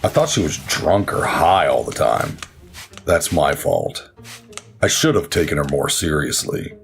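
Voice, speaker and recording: A grim haunted big brother, super low bass voice, crime audio drama, music faintly behind. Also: male voice, sing-song, a little slow, gravelly, heavily acted. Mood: bitter, regretful. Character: somber, guilt-ridden big brother and military veteran.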